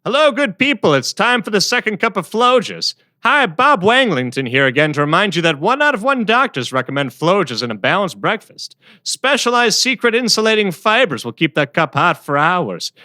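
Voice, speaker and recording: A man playing a cheery, peppy old-time radio pitchman in a vintage advertisement. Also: very animated, moderate pace, hardly any pauses, pushed and bright, dry recording, clean studio sound, full character voice. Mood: upbeat sales pitch. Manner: peppy, salesman-like.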